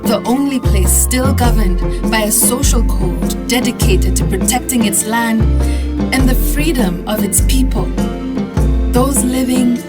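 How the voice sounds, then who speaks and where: A woman's voice: on the high side, unhurried, over loud music, natural voice. A young woman playing a determined activist who speaks firmly and earnestly, also narrating her own journal. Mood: earnest narration. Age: young adult. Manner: firm, earnest.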